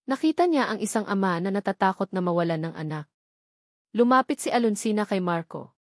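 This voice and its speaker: A woman's voice: medium pitch, lively intonation, fairly quick, dry recording, studio quality. A woman with a Filipino accent narrating a scripted dramatic story.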